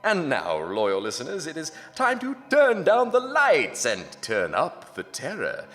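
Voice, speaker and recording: A man's voice: wildly swooping pitch, full character voice. A man playing a pompous, theatrical announcer in a horror radio drama, with a grandiose and menacing delivery. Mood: menacing, ranting. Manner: grandiose, slow, dramatic delivery with hushed lows and roaring highs. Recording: long hall reverb, music underneath.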